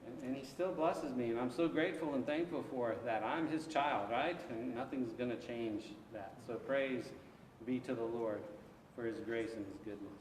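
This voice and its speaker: Pastor teaching in an echoey church hall. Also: male voice, medium pitch, moderate pace, far from the mic, a little hiss or hum. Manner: conversational, takes questions, frequent restarts and acted asides. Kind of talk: interactive Bible study teaching. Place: church sanctuary.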